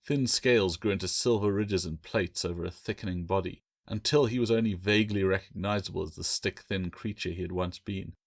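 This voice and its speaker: A man's voice: low voice, a little fast, few pauses, dry recording, studio quality. A man narrating a fantasy novel as its author, in a scripted audiobook reading.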